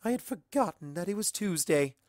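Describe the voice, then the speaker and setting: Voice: mid-range voice, animated, a little fast, darkish tone, no echo, clean studio sound, pitched above the performer's own voice, lightly acted. A poised cartoon pony lady of society, played by a storyteller. Her delivery is genteel, composed and a little posh. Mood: unruffled. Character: refined, poised female pony socialite.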